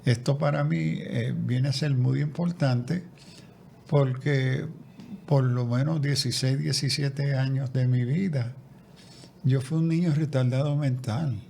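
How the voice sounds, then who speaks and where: A man's voice: medium pitch, fairly even intonation, measured pace, gravelly, warm tone, a little hiss or hum. A man speaking as a guest theologian in a conversational interview, reflective, often restarting and repeating words. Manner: reflective, with frequent restarts and repetitions.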